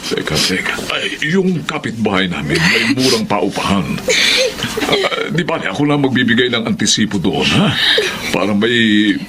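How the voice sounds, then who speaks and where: A man's voice: fairly low pitch, very animated, moderate pace. A man playing a kindly, generous uncle in a Filipino radio drama. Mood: helpful. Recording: noisy radio drama recording.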